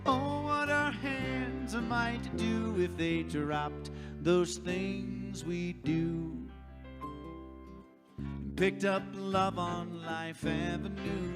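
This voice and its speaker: A woman's voice: medium pitch, steady tone, extremely slow. A woman singing a song to guitar accompaniment. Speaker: singer. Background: guitar music.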